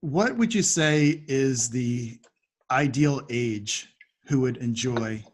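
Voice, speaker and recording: A man's voice: medium pitch, expressive, takes it slowly. Man with a mid-range voice. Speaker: podcast host, interviewer. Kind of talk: conversational interview questions.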